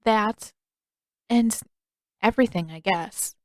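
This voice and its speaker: A woman voicing a gentle, tactful young boy in an audiobook, with a mild, placating manner. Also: medium pitch, takes it slowly, no echo, clean studio sound, lightly acted. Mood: hesitant. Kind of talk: audiobook character voice.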